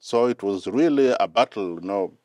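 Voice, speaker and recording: A man's voice: lowish voice, lively intonation, normal speed, a little mellow, no room sound, clean studio sound. A man from Kenya with a Kenyan accent, speaking as a guest in a conversational interview, earnest and often repeating words as he gathers his thoughts. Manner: earnest, repeats words while finding them. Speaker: guest, mission director.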